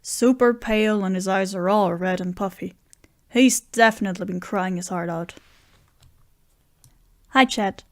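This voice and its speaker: A woman's voice: mid-range voice, unhurried, long pauses, no echo, studio quality, lightly acted. A woman playing a wry, broke friend who narrates a first-person story in an audio drama. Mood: wry, concerned. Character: wry, down-on-their-luck friend Bill, narrating at a bar.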